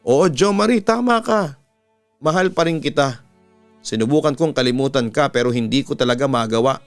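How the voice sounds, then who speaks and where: A man's voice: mid-range voice, normal speed, no echo, natural voice. A man with a Filipino accent voicing an emotional young woman, a sweetheart in a dramatized love story. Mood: moved, loving. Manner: emotional, emphatic. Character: hurt, loving young woman, former sweetheart. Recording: music bed under the voice.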